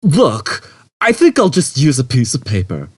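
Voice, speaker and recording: Space rogue, a male narrator acting: slangy, impatient drawl, pushed-up pitch, big swings, audiobook sci-fi. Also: wildly swooping pitch, a little slow, breathy edge, no echo, full character voice. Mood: irritated. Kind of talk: audiobook character voice.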